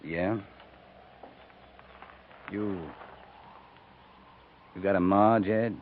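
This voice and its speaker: A tinny old radio western with audible noise. a cavalry captain, a low-voiced man who is steady, weary and plain-spoken. He talks calmly and sparingly. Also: drawn-out words. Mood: calm, reassuring. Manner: laconic, calm, plain-spoken. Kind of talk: 1950s radio western acting. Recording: noisy, tinny 1950s radio recording.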